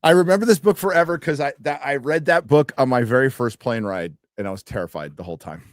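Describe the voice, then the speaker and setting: A man co-hosting a casual podcast, reading articles aloud slowly and joking with the others. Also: medium pitch, no room sound, studio quality. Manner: reads aloud slowly, jokes and trails off. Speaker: co-host.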